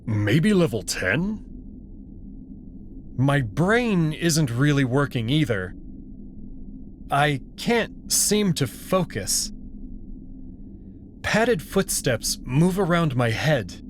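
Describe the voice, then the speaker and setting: A man's voice: fairly high pitch, sing-song, extremely slow, pauses often, slight background noise. A man giving scripted narration and commentary as the reader of a story.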